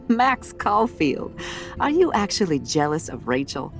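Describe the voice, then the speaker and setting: A woman with an American accent playing a warm, wry middle-aged mother in a video game, talking in a folksy, motherly, teasing way. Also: fairly low pitch, very animated, slow, creaky, heavily acted. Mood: wry, affectionate. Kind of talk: video game acting, conversational dialogue. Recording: music under the voice. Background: background music.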